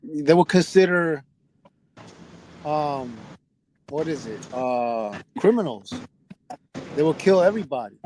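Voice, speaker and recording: A man's voice: a little high, animated, slow, long pauses. Middle-aged male host, raised voice, storyteller who circles back and restarts, faint hiss behind. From United States. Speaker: podcast host. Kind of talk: casual conversation.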